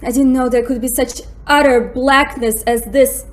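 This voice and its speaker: A woman's voice: high-pitched, fairly even intonation, moderate pace, close to an everyday voice. A woman playing an impressionable, anxious young lady in a radio drama, with a plaintive, dramatic way of speaking. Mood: frightened. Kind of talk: stage-like radio drama acting.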